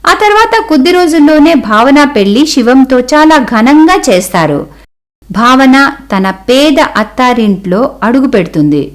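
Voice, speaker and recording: A woman's voice: sits high, very animated, a little slow. A woman narrating a scripted cartoon storybook tale as the storyteller.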